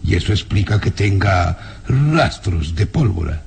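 An actor playing a haughty, menacing baron in a radio drama, imperious, mocking and deliberate. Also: male voice, low voice, very animated, vocal fry, darkish tone, husky, exaggerated voice. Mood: coolly explaining. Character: haughty, menacing aristocratic baron who loves weapons. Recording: noisy old radio serial recording.

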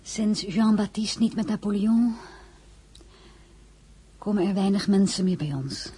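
Ingetogen, waardige kroonprinses met gedempte stem. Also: female voice, medium pitch, measured pace, warm tone, husky. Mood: wistful, wary. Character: crown princess, dignified, wistful, wary former marshal's wife. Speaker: lead actress and first-person narrator. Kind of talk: Dutch radio drama acting.

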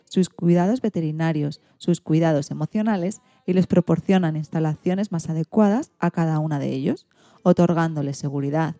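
A woman from reads as a radio presenter. Her voice is very soft-edged and dark, slightly low, in a dry, close sound. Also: dry recording. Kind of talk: scripted radio narration.

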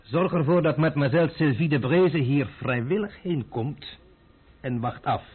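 An actor in a Dutch radio play, voicing a stubborn, defiant adventurer who talks back with wry insolence. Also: male voice, a little high, normal speed, breathy edge. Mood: defiant threat. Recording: muffled phone-like old radio recording.

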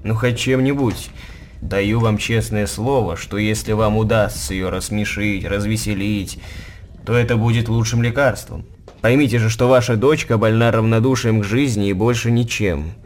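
An actor playing an important grey-haired old doctor in a radio drama, measured, earnest and authoritative. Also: male voice, fairly low pitch, fairly even intonation, slight background noise, natural voice. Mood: earnest, instructing.

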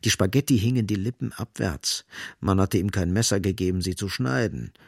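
A man's voice: low voice, moderate pace, vocal fry, dry recording, clean studio sound. A man reading literary scripted narration as the narrator of a radio drama.

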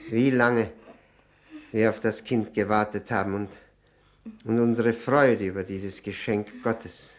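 A man's voice: on the low side, steady tone, measured pace, lightly acted. An actor in a German radio drama playing a nervous, servile drinking widower, stammering, flustered and repeating his words. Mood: sentimental.

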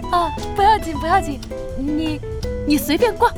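A woman's voice: extremely high pitch, moderate pace, music in the background. An actress playing a spirited, chatty, blunt young woman who runs a shop. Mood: flustered, excited. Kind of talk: Chinese audio drama acting.

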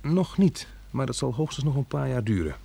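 An actor playing a steady, resolute man who leads survivors in a radio drama. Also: medium pitch, normal speed, gravelly, husky, heavily acted. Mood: earnest, reflective. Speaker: lead actor and narrator in a radio play.

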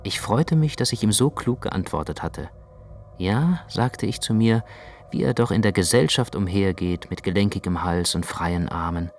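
An actor playing a reflective, self-conscious first-person narrator in a German radio drama, with a measured literary delivery. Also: male voice, deep voice, darkish tone, slight background noise.

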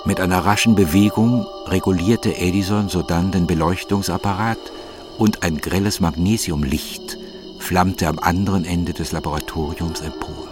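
Deep male voice, literary narration, measured, solemn, music playing behind. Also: steady tone. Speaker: audiobook narrator.

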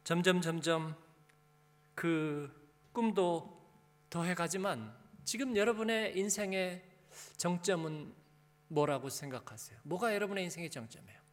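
A man's voice: high voice, expressive, unhurried. A male pastor preaching a sermon in a conversational way, putting rhetorical questions and short prompts to his listeners. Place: large reverberant church hall. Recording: echoey hall with long reverb.

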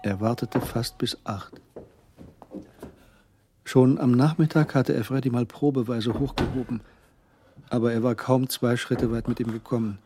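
A man's voice: medium pitch, fairly even intonation, measured pace, long pauses, dark tone, a little hiss or hum, natural voice. A man performing as the third-person narrator of a German crime radio drama, with scripted, tense storytelling. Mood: brooding.

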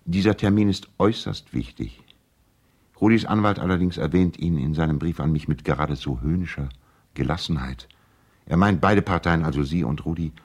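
Very deep-voiced urbane lawyer. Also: male voice. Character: urbane, persuasive male lawyer and family friend. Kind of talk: radio play, letters read aloud in character.

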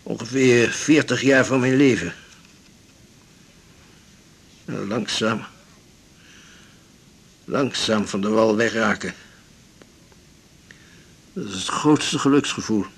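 An actor playing an elderly, blunt, resolute widowed seaman in a radio drama, speaking in a measured, formal, philosophical way. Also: fairly low pitch, creaky, husky, heavily acted. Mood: wistful, weary. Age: 70s or older. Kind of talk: 1980s Dutch radio drama acting.

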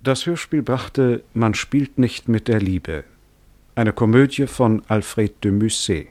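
A man reading formal scripted credits as a radio announcer, in a measured, precise manner. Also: lowish voice, animated, darkish tone.

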